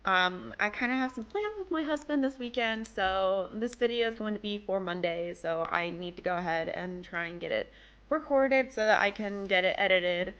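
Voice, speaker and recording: A woman's voice: medium pitch, expressive, normal speed, a bit bright, dull audio. A young woman with a slightly worn-out voice, chatting casually and rambling a little as she narrates her build. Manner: chatty, rambling, self-deprecating. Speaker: game build creator. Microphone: desk microphone.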